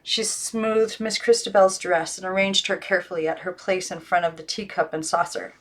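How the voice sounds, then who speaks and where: A woman's voice: on the low side, fairly even intonation, moderate pace. A woman giving scripted narration of a storybook as an amateur audiobook reader.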